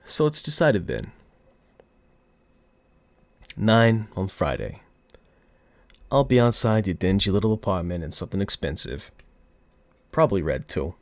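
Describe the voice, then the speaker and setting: Casting: male actor as flirtatious, arrogant mob boss for ASMR roleplay; deep register, highly expressive, taunting, affected gangster accent. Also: dry recording. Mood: cocky. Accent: put-on mafia gangster accent. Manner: teasing, taunting, drawn-out seductive delivery. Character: cocky, flirty, smug male mafia boss. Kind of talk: ASMR audio roleplay acting.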